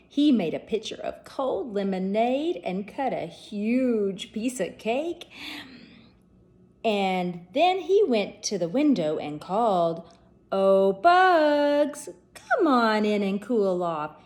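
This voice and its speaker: A woman P.E. teacher reading a children's storybook aloud, clear and expressive. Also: on the high side, slow.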